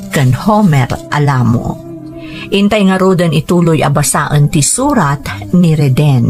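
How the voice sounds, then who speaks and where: A woman's voice: fairly low pitch, darkish tone. A woman hosting a story programme, reading out scripted narration and greetings. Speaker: radio drama host and letter reader. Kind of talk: scripted narration with shout-outs. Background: background music.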